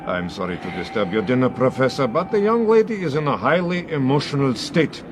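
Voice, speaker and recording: A man's voice: medium pitch, big pitch swings, natural voice. Sinister, courteous doctor over train noise man acting. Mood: coolly polite. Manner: measured, courteous menace. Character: sinister, smooth doctor menacing a young woman. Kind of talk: radio drama acting. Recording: clear background train noise.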